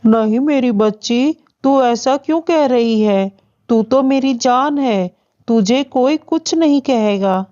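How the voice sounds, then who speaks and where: A woman's voice: fairly high pitch, takes it slowly, warm tone, dull audio. A woman voicing a tender, worried widowed father bird in a children's cartoon story, earnest and pleading. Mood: tender, concerned.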